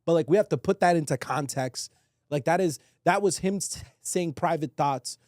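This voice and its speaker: A man's voice: on the high side, moderate pace, darkish tone, no room sound, clean studio sound. A man talking casually and with opinions as a podcast co-host, slangy and emphatic, swearing and repeating phrases for weight.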